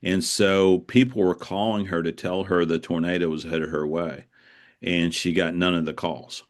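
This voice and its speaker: A man with a deep, low voice talks in a reflective interview style. He is earnest and halting, circling back to repeat phrases as he tells his stories. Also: steady tone. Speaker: author being interviewed. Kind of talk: reflective conversational storytelling.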